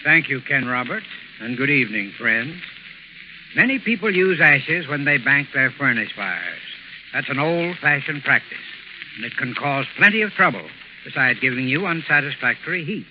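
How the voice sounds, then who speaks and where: A man's voice: medium pitch, sing-song, normal speed. An American man reading a scripted 1930s radio advertisement as a formal, instructive expert. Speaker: sponsor's heating expert. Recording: muffled 1930s radio recording, faint hiss.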